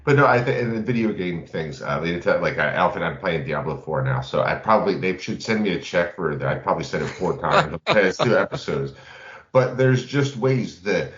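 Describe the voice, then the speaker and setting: A man's voice: sits low, lively intonation, a little fast, few pauses, fairly bright tone. A man co-hosting a casual podcast about games, talking in a loose, informal, rambling way. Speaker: podcast co-host. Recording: roomy, dull lo-fi audio.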